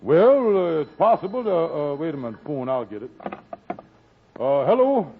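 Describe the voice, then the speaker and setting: An actor playing a scheming, fast-talking lodge boss in a 1940s radio comedy. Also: male voice, on the high side, sing-song, slight background noise. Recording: tinny old radio broadcast.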